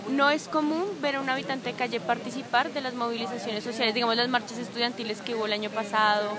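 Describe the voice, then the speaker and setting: A woman's voice: fairly high pitch, fairly even intonation, quick pace. A woman, interviewing someone outdoors and rephrasing her questions to probe for answers. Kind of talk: street interview. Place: city park outdoors. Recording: outdoor crowd noise. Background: street crowd.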